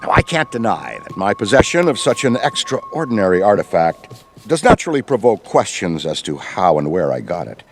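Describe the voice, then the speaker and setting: A rambling veteran ghostbuster. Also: male voice, fairly low pitch, wildly swooping pitch, brisk, soft background music. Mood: lively. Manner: rambling, wordy, professorial. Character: Ray, enthusiastic veteran ghostbuster, middle-aged man. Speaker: veteran mentor. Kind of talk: video game cutscene voice acting.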